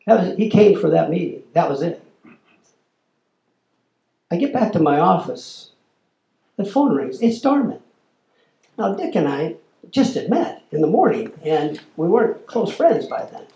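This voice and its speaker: Older man telling stories, gravelly voice. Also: high voice, a little slow, long pauses, reverberant. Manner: animated storyteller, acts out quoted exchanges. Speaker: lecturer, former corporate lobbyist. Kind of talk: storytelling lecture. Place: lecture hall.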